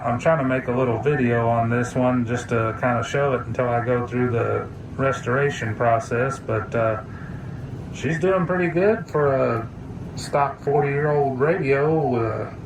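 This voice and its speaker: A man's voice: medium pitch, measured pace. Guy casually showing off gear, unscripted and techy, recorded on a phone, bit of radio hiss behind. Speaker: hobbyist video presenter. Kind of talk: casual hands-on gadget demo. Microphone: phone camera mic. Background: radio chatter and hiss.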